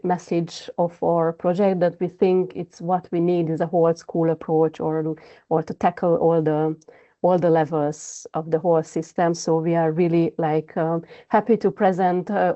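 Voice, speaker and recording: A woman researcher wrapping up a project presentation and answering questions, halting with non-native phrasing. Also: fairly low pitch, mellow, dull audio. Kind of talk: presentation closing and Q&A.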